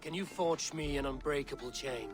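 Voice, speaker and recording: A man's voice: medium pitch, normal speed, music in the background, close to an everyday voice. An actor playing a grave, authoritative Norse god-king, stern and wary, in a video game cinematic. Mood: grave, commanding.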